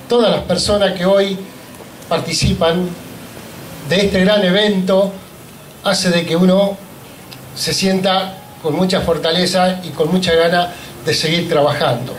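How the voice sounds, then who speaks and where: Emotional Argentine man speaking in an echoey hall. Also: high voice. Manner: emotional, grateful, halting phrasing. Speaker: union leader giving a speech. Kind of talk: public speech at an inauguration. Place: large event hall, indoors. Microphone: handheld microphone on a stand. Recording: echoey hall with faint noise.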